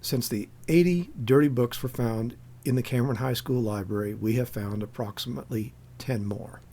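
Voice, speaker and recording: Male, American accent, medium pitch, spoken commentary, emphatic, insistent, argumentative. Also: moderate pace, warm tone. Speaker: activist commentator.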